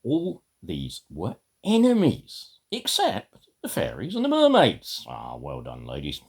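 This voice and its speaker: A low-voiced older man reads from a storybook at an unhurried pace. His pitch rises and falls dramatically as he performs the text, then drops into dry, chatty asides. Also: huge pitch swings, a little mellow, slightly rough. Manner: theatrical, dramatic delivery broken by dry muttered asides. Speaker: amateur storybook reader.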